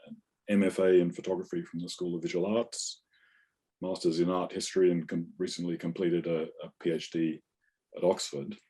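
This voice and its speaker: Male interviewer, deep voice, mellow tone, measured questions. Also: slightly rough. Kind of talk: interview conversation.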